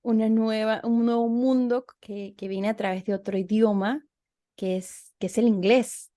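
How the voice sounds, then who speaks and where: A woman's voice: fairly high pitch, steady tone, measured pace, warm tone. A woman hosting a casual interview, talking reflectively and often restarting her phrases. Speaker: host, interviewer.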